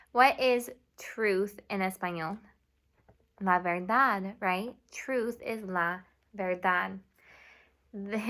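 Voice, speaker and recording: A young woman teacher giving a video lesson to children, speaking slowly and clearly and switching between English and Spanish. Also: medium pitch, pushed and bright. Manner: slow and clear, mixing English and Spanish, asks students to repeat. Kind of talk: classroom video lesson for kids.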